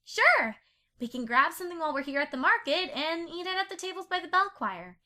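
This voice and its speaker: Woman playing a bright, high-voiced eager young pony. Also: big pitch swings, fairly quick, full character voice. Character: Twilight, organised young female pony, eager and defensive. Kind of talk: amateur audio drama acting.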